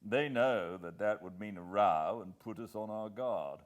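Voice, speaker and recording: A British man voicing an upper-class senior government official in an audiobook, brisk, confiding and emphatic. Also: lowish voice, voice pushed up, lightly acted. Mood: grave, emphatic.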